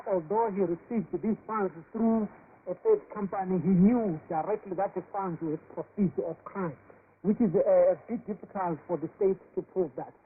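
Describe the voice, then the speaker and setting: A man reporting as a correspondent in a live interview, halting with many fillers and restarts. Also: high-pitched. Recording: tinny shortwave radio with hiss.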